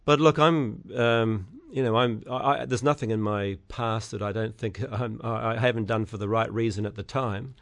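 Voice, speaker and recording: A middle-aged man with a New Zealand accent, answering radio interview questions as an election candidate, halting with many fillers and restarts. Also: lowish voice, fairly even intonation.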